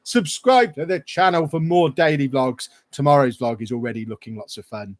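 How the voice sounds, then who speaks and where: A man's voice: medium pitch, normal speed. A man, casually chatting and answering viewer questions on a livestream. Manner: chatty, rambling, reads viewer questions aloud. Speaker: YouTuber hosting a livestream Q&A.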